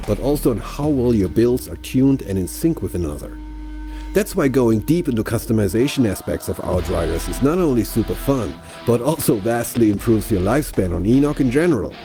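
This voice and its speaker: A man's voice: medium pitch, dark tone. A man presenting a game showcase in a conversational, scripted promotional style, explaining things directly to viewers. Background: background music.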